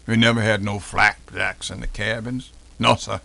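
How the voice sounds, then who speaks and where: A man's voice: fairly low pitch, level tone, moderate pace, vocal fry, pushed and bright, full character voice. A man playing an elderly formerly enslaved American man recalling his childhood in a folksy, dialect-heavy dramatic reenactment. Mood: plain reminiscing. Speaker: professor doing a dramatic reading. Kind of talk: dramatic first-person oral history reenactment.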